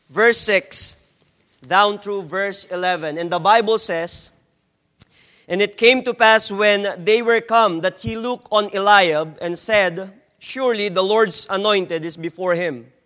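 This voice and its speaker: A man from the Philippines with a strong Filipino accent, preaching a slow, emphatic sermon as a guest preacher and reading scripture. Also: sits high, pushed and bright, big-room sound. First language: not English.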